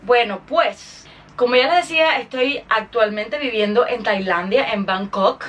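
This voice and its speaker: A woman's voice: a little high, a little slow, fairly bright tone, distant mic. A woman with a Cuban accent hosting her podcast in a chatty, informal monologue to her followers. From Cuba. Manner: chatty, informal, with English interjections. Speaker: podcast host and singer.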